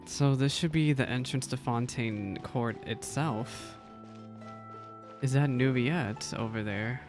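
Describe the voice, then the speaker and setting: A streamer giving casual reaction commentary while playing through a video game, in a creaky voice. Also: medium pitch, takes it slowly, music in the background.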